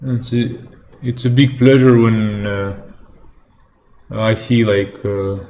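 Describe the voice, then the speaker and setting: Slow, halting Algerian man on a stream. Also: fairly low pitch, steady tone, darkish tone. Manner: halting non-native English, searching for words. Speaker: livestream host. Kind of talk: casual livestream chat with viewers.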